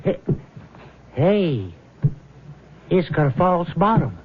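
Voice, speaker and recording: An actor in a radio drama playing a shrewd, eager accomplice with a brisk, slangy manner. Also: male voice, high-pitched, big pitch swings, a little hiss or hum, full character voice. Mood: eager, excited. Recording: tinny phone-like old radio broadcast.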